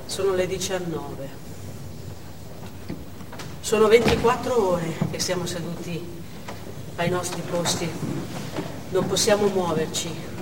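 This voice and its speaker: A woman's voice: lowish voice, takes it slowly, pauses often. Frightened hostage mother, echoey distant stage. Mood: weary, stunned. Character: frightened, indignant Russian mother held hostage in a theatre. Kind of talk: stage monologue, dramatic narration. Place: theatre stage. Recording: echoey noisy theatre hall from far off.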